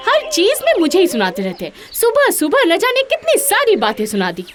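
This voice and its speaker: A woman playing a naive, chatty potter's wife in an animated story, effusive and complaining. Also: very high-pitched, brisk, faint music behind, heavily acted. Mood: indignant. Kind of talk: animated moral story acting.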